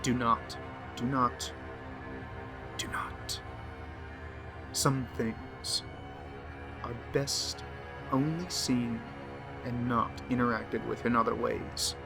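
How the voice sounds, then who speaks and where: A man plays a pompous, unhinged male diarist of the occult, full of scorn for humanity. He speaks very slowly and theatrically at a medium pitch, lingering on words as horror narration. Prominent music runs behind the voice. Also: level tone, vocal fry. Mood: ominous, warning. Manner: theatrical, slow and deliberate, lingering on words, dropping to whispers. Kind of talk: dramatic horror story narration.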